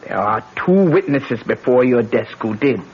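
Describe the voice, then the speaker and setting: A woman's voice: very deep voice, animated, measured pace, breathy edge, heavily acted. A woman playing an older, grieving French aunt in an old radio drama, with theatrical, solemn delivery and a French accent. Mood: bitter, accusing. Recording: muffled old radio recording.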